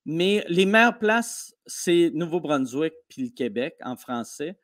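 A man with a Québécois French accent, a comedian guest telling stories in a casual podcast interview, animated and quick, with many restarts. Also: on the high side. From Quebec. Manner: animated storytelling, fast with restarts.

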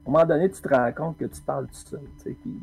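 Brief: husky, gravelly man running a tabletop game, calm describer, dark tone. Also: on the high side, very fast, faint music behind, poor audio. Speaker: game master.

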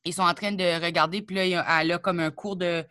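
Bright, chatty Acadian woman. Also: lowish voice, dry recording, studio quality. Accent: Acadian French. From New Brunswick, Canada. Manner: chatty, animated, quick asides. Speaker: comedian and rapper, guest. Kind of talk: casual interview chat.

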